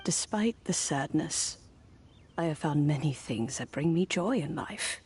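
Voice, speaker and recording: A woman playing a kindly old granny in a video game, speaking in a measured, reflective and gentle way. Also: low-pitched, husky, heavily acted. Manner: measured, reflective, with soft sighs. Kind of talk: scripted video game dialogue.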